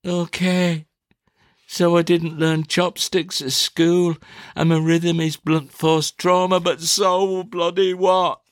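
Man acting a high-pitched, panicky accused poet. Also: flat delivery, slow, no echo, studio quality. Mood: protesting. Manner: agitated, protesting, faltering. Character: accused poet, panicky, defiant, whiny suspect. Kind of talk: theatrical performance-poetry interrogation dialogue.

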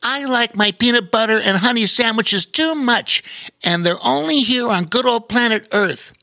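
A man doing a bubbly high-pitched otter. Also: normal speed, gravelly, pushed and bright, no echo, full character voice. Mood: cheerful. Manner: bubbly, eager. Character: excitable young male otter.

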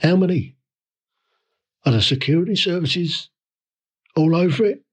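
Slightly croaky man ranting. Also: fairly high pitch. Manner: indignant, rhetorical questions, halting restarts. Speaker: podcast host. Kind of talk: solo opinion rant.

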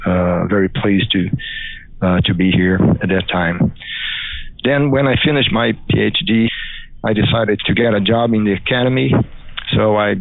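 A man's voice: fairly low pitch, vocal fry, no room sound. A middle-aged man from Brazil with a Brazilian Portuguese accent, speaking English as a second language and answering interview questions with many fillers and restarts. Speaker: guest, crop scientist. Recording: dry phone-like audio.

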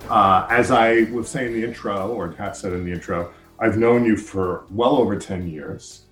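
A man's voice: lowish voice, moderate pace, soft background music. An American man hosting a podcast, talking in a chatty, enthusiastic way and reading his own ads. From United States. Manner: chatty, lots of "uh" fillers, enthusiastic. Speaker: podcast host. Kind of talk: casual interview and ad read.

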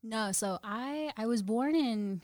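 A woman's voice: a little high, slow pace, darkish tone, dry recording, clean studio sound. Bubbly young woman guest, laughing often. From Guyana. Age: 20s. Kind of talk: casual interview chat.

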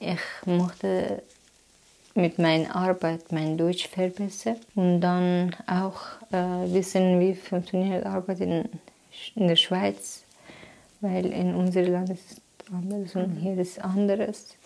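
A woman from Afghanistan telling her plans in halting German, pausing often to search for words. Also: fairly low pitch, level tone, vocal fry, dark tone. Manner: hesitant, searching for words with many pauses. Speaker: interviewee. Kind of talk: halting personal account in learner German.

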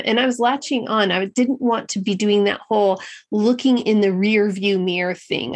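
A woman hosting a solo motivational podcast, talking earnestly and directly to her listeners, slightly nasal from a head cold. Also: mid-range voice. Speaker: podcast host.

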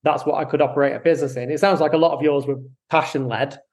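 Male host, slightly higher voice, friendly and halting with restarts. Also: fairly even intonation, a little mellow. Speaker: podcast host. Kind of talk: interview hosting.

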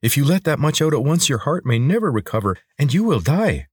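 A man's voice: on the high side, very animated, brisk, a little mellow, husky, no echo, clean studio sound, voice pushed up. A man voicing a small, loyal, fretful lizard creature in an audiobook, with formal, deferential phrasing. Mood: anxious warning. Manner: formal, deferential phrasing addressing a master as sir. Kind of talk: audiobook character voice.

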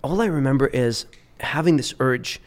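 A man's voice: mid-range voice, moderate pace. A man from Lebanon who grew up in Dubai, a podcast guest telling stories in a rambling, restarting way. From Lebanon, raised in Dubai. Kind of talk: casual storytelling chat.

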